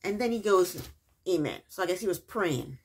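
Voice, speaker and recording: Woman, low husky voice, sarcastic, chatty, casual TV recap. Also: animated, moderate pace. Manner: sarcastic, chatty, repeats punchlines. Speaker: reality TV recap vlogger. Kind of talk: casual episode review.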